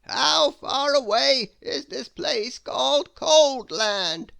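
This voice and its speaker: Wise old storybook wizard, played by a narrator: theatrical, bright, very animated delivery. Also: sits high, vocal fry, no room sound, studio quality, voice pushed up, exaggerated voice. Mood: amazed, booming. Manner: theatrical, drawn-out exclamations. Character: wise old male wizard, grandly exclaiming, kindly. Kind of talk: storybook read-aloud character voice.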